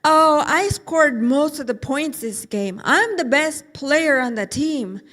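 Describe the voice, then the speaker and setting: A woman's voice: high voice, animated, takes it slowly, a bit bright, heavily acted. A woman voicing a proud young boy basketball player who brags and whines. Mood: boastful. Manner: whiny, boasting.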